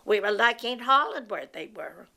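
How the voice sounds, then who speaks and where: A woman in her nineties from Belgium, reminiscing about her life in a slow, steady interview. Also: fairly high pitch. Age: 90s. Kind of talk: personal life story told in an interview.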